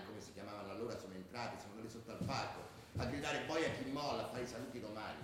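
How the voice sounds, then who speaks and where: Male actor as nostalgic militant ultra, radio drama, rapid colloquial storytelling, strong echo, faint noise. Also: mid-range voice, fairly bright tone, lightly acted. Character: streetwise male ultra looking back on rebellious militant youth. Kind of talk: theatrical radio drama monologue. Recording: very echoey, distant, faint noise.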